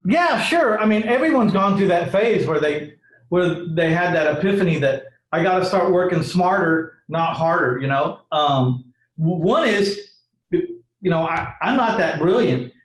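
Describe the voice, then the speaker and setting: A man's voice: sits high, lively intonation, a little slow. A man hosting a podcast in casual chat, joking and upbeat with his guest. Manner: joking, upbeat, reads ad spots. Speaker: podcast host. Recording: roomy echo.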